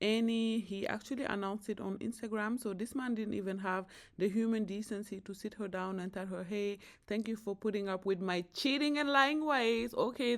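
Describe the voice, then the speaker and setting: A young woman with a Nigerian accent, giving impassioned, pleading commentary to camera as a vlogger. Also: mid-range voice.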